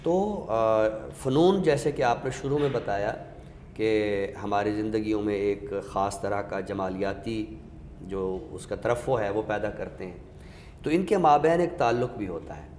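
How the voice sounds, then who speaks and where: A man with a Pakistani accent giving a deliberate, didactic explanation in a literary discussion, pausing for emphasis. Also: fairly low pitch, a little mellow. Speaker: literary scholar and guest speaker. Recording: echoey reverberant room with background noise.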